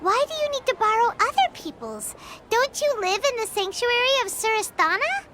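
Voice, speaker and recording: A woman voicing a tiny, childlike, curious and excitable fairy companion in a video game, with a chirpy, blunt manner. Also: very high-pitched, moderate pace, heavy background noise. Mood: surprised, puzzled. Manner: chirpy, childish, refers to self in third person. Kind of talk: scripted video game voice acting.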